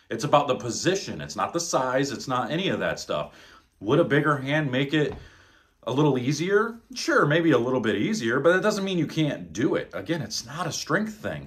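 A man's voice: mid-range voice, a bit bright. A man in his thirties coaching bowling technique at home, talking bluntly and emphatically as he demonstrates. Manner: blunt, emphatic, exasperated at bad advice. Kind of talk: instructional vlog demonstration. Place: living room at home, indoors. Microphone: camera on tripod, close then several meters away. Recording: echoey room.

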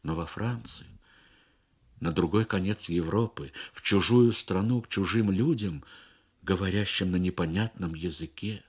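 A man reads a literary short story for radio in measured, expressive phrases with frequent pauses. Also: low-pitched, husky. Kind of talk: literary narration, Soviet radio story reading.

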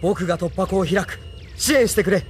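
An actor playing an earnest, tense young pilot leader in an anime radio drama. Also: male voice, high-pitched, a little fast, a little hiss or hum, lightly acted. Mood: resolute.

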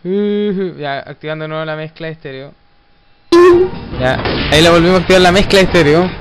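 Chileno de voz aguda jugando con amigos. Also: male voice, fairly high pitch, brisk. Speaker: gamer playing co-op with friends. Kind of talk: casual gaming chat and callouts. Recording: loud game music over voice. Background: loud game music and sounds.

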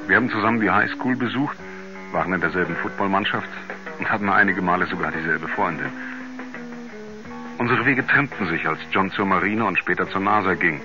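Man acting a grave writer narrating an old radio drama, deep measured voice, music clearly behind him. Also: lo-fi sound, lightly acted. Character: sober male writer recalling his astronaut friend. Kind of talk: 1970s German radio play narration. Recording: band-limited 1970s radio recording with music.

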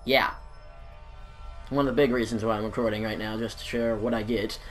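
A man's voice: on the low side, fairly even intonation, brisk, faint music behind. Stuffed-up young man rambling over gameplay. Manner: rambling, self-deprecating, apologetic. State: stuffed-up nose and throat. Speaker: gaming YouTuber. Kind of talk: casual gameplay commentary.

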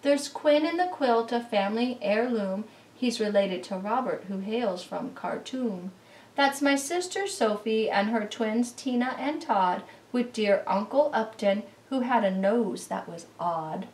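A middle-aged woman reading a rhyming picture book aloud to children in an expressive, sing-song way. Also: a little high, measured pace. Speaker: read-aloud storyteller for children. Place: room at home beside a Christmas tree. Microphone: camera mic at some distance. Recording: roomy, distant camera recording.

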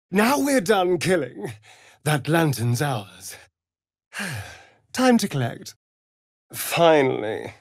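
A man's voice: a little high, dry recording, clean studio sound, exaggerated voice. A man playing a vain, sardonic aristocratic vampire rogue in a video game, with a British accent and an arch, theatrical drawl. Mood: impatient, arch. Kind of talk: video game character acting.

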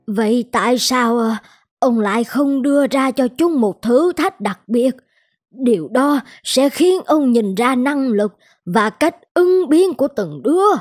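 A woman voicing a caring, thoughtful aging wife in an animated folktale, speaking in a gentle, measured way. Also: high-pitched, no echo, clean studio sound. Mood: supportive. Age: older.

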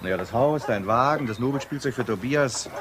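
An actor playing a blunt, irritable police detective in a radio crime drama, speaking in a brusque, colloquial way. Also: male voice, medium pitch, fairly quick, close to an everyday voice. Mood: probing. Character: blunt, irritable male police detective, loyal but suspicious. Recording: clear background noise.

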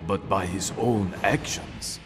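An elderly rat sensei with a very deep, unhurried delivery. He speaks gently and with measured calm, and soft music plays underneath. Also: in a large hall. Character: wise old male rat sensei, calm. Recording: game cutscene over music.

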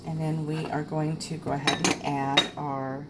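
Low-voiced woman in her 40s chatting through a recipe in her kitchen, lots of ums, pans clattering in the background. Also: level tone, measured pace, very noisy. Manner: chatty, filler-heavy, self-deprecating. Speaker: cooking show host. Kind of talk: casual cooking demonstration. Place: home kitchen indoors.

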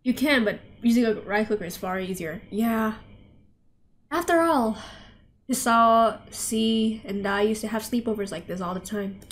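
A woman's voice: fairly high pitch, measured pace. A young woman streamer reading game text aloud casually and breaking off with chatty side comments. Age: young adult. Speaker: streamer playing a visual novel. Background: game music.

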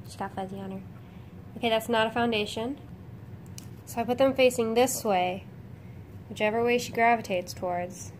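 A woman's voice: a little high, slight background noise. Nasal, slightly sick young woman chatting. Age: young adult. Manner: chatty, rambling with many fillers. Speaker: beauty vlogger. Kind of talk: casual makeup tutorial chat. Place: bedroom at home, indoors.

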